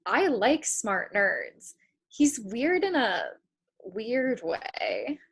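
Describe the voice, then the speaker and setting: A woman's voice: fairly high pitch, big pitch swings, drawn-out words, husky, exaggerated voice. A woman playing an idealistic, stubborn young girl in a comedy play reading, emphatic and indignant. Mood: skeptical. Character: idealistic, stubborn young girl meeting her future selves. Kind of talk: comedy play read aloud.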